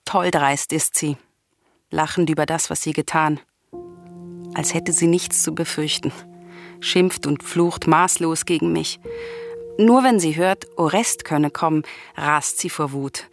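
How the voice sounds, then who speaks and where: An actress playing a grieving, vengeful, defiant princess in a classical radio tragedy, speaking in solemn, impassioned declamation. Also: female voice, low-pitched, a little slow, vocal fry, soft background music. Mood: raging.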